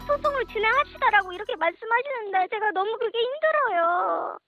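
A woman's voice: extremely high pitch, lively intonation, brisk, music in the background. A distraught woman pleading desperately in a phone conversation, in a muffled call recording. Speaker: insurance customer pleading by phone.

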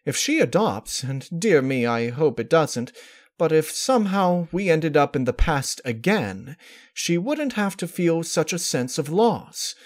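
A man's voice: on the high side, big pitch swings, measured pace, voice pushed up. A man voicing a refined female cartoon unicorn dressmaker, prim, polite and dramatic in manner. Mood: gentle, chatty.

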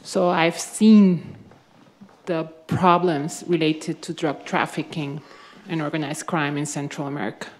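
A woman from Costa Rica commenting from the audience at a panel event, earnest and halting. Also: low-pitched, steady tone, a little hiss or hum. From Costa Rica, born in Nicaragua. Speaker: audience member asking a question.

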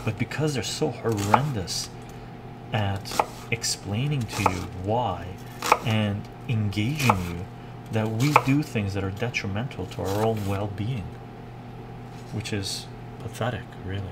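A middle-aged man with a lowish voice hosts a casual cooking livestream. He muses in a halting way as he reads out comments and answers them. Loud kitchen noise, with chopping and clatter, runs behind his talk. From Canada, born in Iran. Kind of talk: casual livestream chat while cooking, reading viewer comments. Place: home kitchen, indoors.

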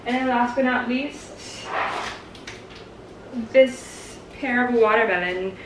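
A woman's voice: on the high side, takes it slowly. A young woman chatting casually through a grocery haul, explaining each item as she moves around her home kitchen, picked up by a camera on the counter. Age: young adult. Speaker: vlogger and resident doctor. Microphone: camera on the counter, at times a few steps away. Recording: echoey kitchen, mic at a distance, background noise.

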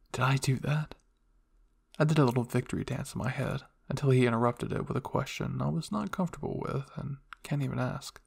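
A male narrator reads a first-person roleplay story. His voice is raspy with heavy fry, and his intonation stays fairly flat. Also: medium pitch. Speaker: fan fiction narrator.

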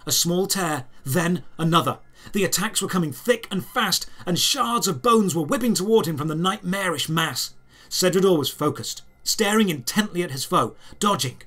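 A man narrating a scripted audio drama in the first person, in measured, deliberate phrases. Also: sits high, fairly bright tone. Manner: measured, storytelling delivery in short deliberate phrases.

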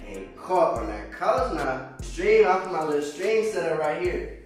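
A man's voice: on the high side, animated, measured pace, far from the mic. A man in his twenties with an American accent, giving a casual, slangy vlog tour and often repeating phrases for emphasis. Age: 20s. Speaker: vlogger giving an apartment tour. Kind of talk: casual vlog chat to viewers. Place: small empty apartment indoors. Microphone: handheld camera a few steps away. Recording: echoey empty room, off-mic, music behind.

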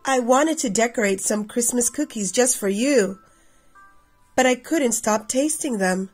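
A woman in her thirties reading a picture book aloud expressively for children, with a slightly husky, creaky voice. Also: on the high side, unhurried, soft background music. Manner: addresses children directly. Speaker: read-aloud storyteller for children.

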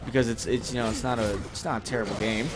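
A man's voice: mid-range voice, fairly even intonation, quick pace. A young man giving casual gameplay commentary, rambling and sarcastic with some swearing. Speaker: gaming video host. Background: game music and effects.

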